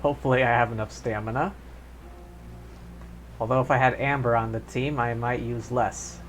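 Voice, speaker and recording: A man's voice: mid-range voice, steady tone, moderate pace, slight background noise. A man streaming a video game with casual live commentary, talking to viewers as he plays.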